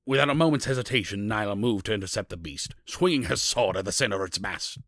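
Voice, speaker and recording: Gravelly, bright-edged male narrator. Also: on the low side, quick pace, no room sound, clean studio sound. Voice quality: husky, gravelly. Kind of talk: scripted fantasy story narration.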